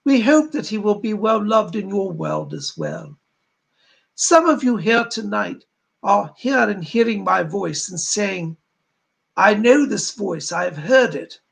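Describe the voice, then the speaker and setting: A man as a high-pitched, gravelly spirit guide. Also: big pitch swings, measured pace, fairly bright tone, heavily acted. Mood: solemn, earnest. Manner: formal, old-fashioned, oratorical phrasing. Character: solemn, benevolent male spirit guide named Alfred. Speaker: trance medium speaking as a spirit. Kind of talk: séance address, sermon-like.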